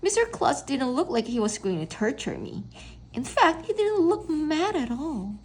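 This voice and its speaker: A woman reading a children's storybook aloud in a boy's first person, expressive and stumbling now and then over words. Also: high-pitched, moderate pace. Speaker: read-aloud narrator.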